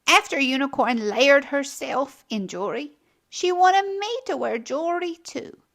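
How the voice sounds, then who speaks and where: A woman hosting a children's storytime, reading aloud expressively with theatrical, deliberate phrasing. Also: sits high, expressive, creaky, fairly bright tone. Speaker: children's storytime host and narrator.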